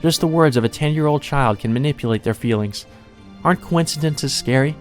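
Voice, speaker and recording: A man's voice: on the high side, a little fast, voice pushed up, close to an everyday voice. A man voicing an emotionless, doll-like young maid from a visual novel, detached and wistful. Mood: detached, confessing. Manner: detached, wistful, softly confessing. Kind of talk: visual novel character reading. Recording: music under the voice.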